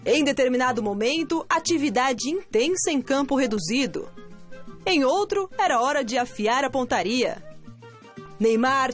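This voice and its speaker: A woman narrating a scripted sports news voice-over in a measured, polished way. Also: sits high.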